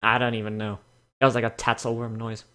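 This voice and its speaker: A man's voice: medium pitch, monotone, fast, heavy background noise. A man ranting casually as the host of a reading show, rambling, swearing and exasperated with heavy emphasis.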